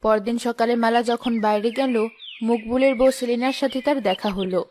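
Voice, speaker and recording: A woman's voice: on the high side, a little fast, a little mellow, slight background noise. A woman reading scripted narration for a story as its narrator.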